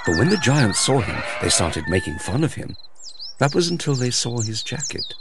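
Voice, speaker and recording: A man narrating a children's fairy tale as a storyteller, in an expressive, theatrical way. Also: mid-range voice, moderate pace, heavy background noise. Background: music.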